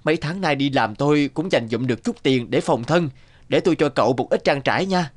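Kind-hearted young animated labourer, friendly, gentle, fairly high voice. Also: male voice, a little fast, no room sound. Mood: sympathetic. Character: kind, hardworking, generous young male worker. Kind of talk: cartoon acting.

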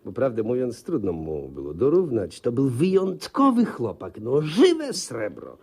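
An actor in a 1950s Polish radio comedy playing a naive husband in awe of an old schoolmate, gushing, stammering and repeating himself. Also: male voice, medium pitch, huge pitch swings, unhurried, darkish tone, breathy edge, full character voice. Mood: earnest, anxious. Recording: slightly muffled 1950s radio play recording.

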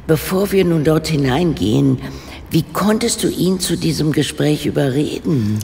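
Creaky, proper older lady detective. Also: low-pitched, expressive, darkish tone, long reverb tail, slight background noise. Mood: measured, firm. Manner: formal, measured, deliberate wording. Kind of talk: German radio play acting.

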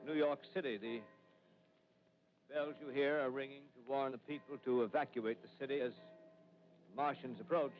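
A man's voice: mid-range voice, lightly acted. An actor playing a male radio announcer giving urgent, grim bulletins from a rooftop in a 1930s radio drama. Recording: dull lightly hissy 1930s radio broadcast.

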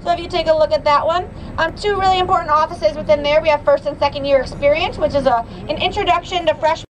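Quick upbeat young woman tour guide. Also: high-pitched. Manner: upbeat, informative, frequent "um" fillers. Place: moving tour bus. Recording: noisy bus interior. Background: bus engine noise.

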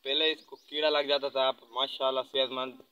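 A man's voice: medium pitch, little pitch movement, fairly quick, sharp tone, lo-fi sound, a little hiss or hum. A teenage boy proudly explaining the vegetables he grew, talking outdoors in a village garden to a phone camera. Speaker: young gardener showing his crops.

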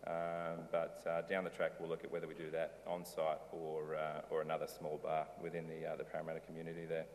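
A man's voice: rumbling low, monotone, fast talker, darkish tone. A middle-aged man giving a casual conference talk from a lectern, rambling loosely with many ums and restarts. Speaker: conference speaker, brewery owner. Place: large dark auditorium stage. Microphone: lectern mic, filmed from far in the hall. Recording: reverberant hall, distant camera.

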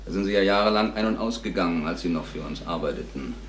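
A man's voice: low voice, fast talker, lightly acted. Echoey, probing businessman, played by a man. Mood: suspicious, probing. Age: adult, younger than the salesman. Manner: clipped, casual, probing. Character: polite but probing, suspicious younger businessman. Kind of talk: radio crime drama dialogue. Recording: echoey room with faint noise.

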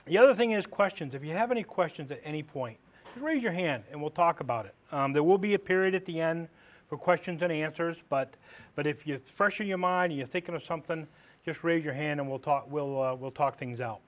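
A man's voice: on the high side, brisk. A middle-aged man from Maine with a big voice, a police lieutenant giving a live classroom lecture in a conversational, plain-spoken way with rhetorical questions. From Maine, United States. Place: training room with a seated audience. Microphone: far camera at the back of the room.

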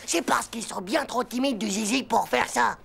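A cocky cartoon schoolboy, high super scratchy voice, cheeky and bossy, music underneath. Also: female voice, moderate pace, fairly bright tone, exaggerated voice. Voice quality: scratchy, gravelly. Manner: cheeky, slangy, self-assured. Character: brash, cocky young schoolboy, bossy and boastful. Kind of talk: French children's cartoon dubbing.

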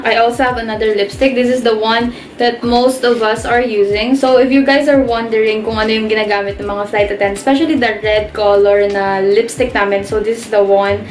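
Young Filipino woman, playful vlog host with a slightly high voice, recorded in an echoey bedroom. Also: a little hiss or hum. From Philippines. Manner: chatty, playful, switching between English and Tagalog. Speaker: flight attendant vlogger. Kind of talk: casual show-and-tell vlog. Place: bedroom, indoors.

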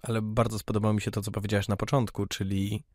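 A man's voice: lowish voice, flat delivery, fast talker, darkish tone, dry recording, clean studio sound. A man hosting a podcast, putting questions to a guest in a conversational way. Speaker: podcast host, interviewer. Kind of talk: conversational interviewing.

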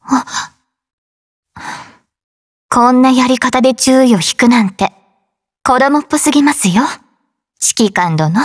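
Female android soldier for anime game: gentle, formal, composed, slightly high breathy voice. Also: expressive, a little slow, long pauses, no room sound, clean studio sound. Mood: soft, teasing. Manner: polite, formal, soft-spoken. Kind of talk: Japanese anime game voice acting.

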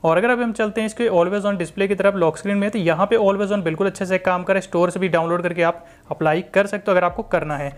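A man's voice: high voice, steady tone, fast, few pauses. A young man with an Indian accent, narrating a hands-on tech review in an informal, explanatory way. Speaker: tech reviewer, channel host.

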